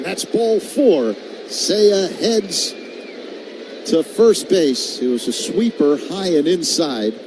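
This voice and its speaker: Male baseball radio play-by-play announcer, fairly high voice, clearly noisy ballpark behind him. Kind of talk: live baseball radio commentary. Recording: noisy stadium ambience. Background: steady ballpark crowd noise.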